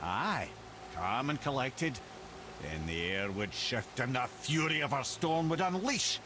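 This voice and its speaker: A man's voice: mid-range voice, sing-song, a little slow. Video game companion: older Scottish male sage, swooping lilt, wry and theatrical, noisy backdrop. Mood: reverent storytelling. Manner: lilting, theatrical, savouring old lore. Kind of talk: dramatic storytelling, video game dialogue.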